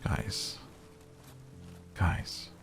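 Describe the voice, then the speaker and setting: A man casually commenting on a relaxing game as he plays, chatty and easygoing, speaking straight to his viewers. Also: extremely slow. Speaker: gaming YouTuber. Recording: game music playing behind. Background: soft game music.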